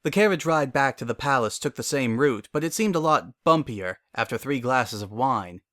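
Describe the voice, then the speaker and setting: A man's voice: mid-range voice, lively intonation, normal speed, a bit bright, no echo, clean studio sound. A man giving a scripted dramatic narration of a story.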